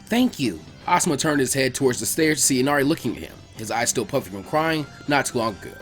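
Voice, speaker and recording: A man reading a scripted story aloud as its narrator, in an animated storytelling style. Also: medium pitch, fast talker, soft background music. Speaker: narrator of fan fiction readings.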